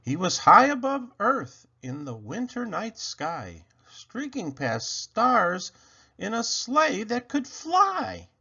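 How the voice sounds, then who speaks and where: Slow, swooping male storybook reader. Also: sits high, wildly swooping pitch, vocal fry, sharp tone, slightly rough, lo-fi sound. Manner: theatrical, deliberate phrasing. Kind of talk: expressive rhyming picture-book read-aloud.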